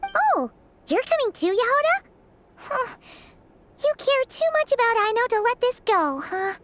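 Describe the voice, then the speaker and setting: A woman voicing a tiny, excitable female fairy companion in a video game, perky and chatty. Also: very high voice, measured pace, full character voice. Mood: curious, teasing. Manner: perky, chatty, refers to herself by name.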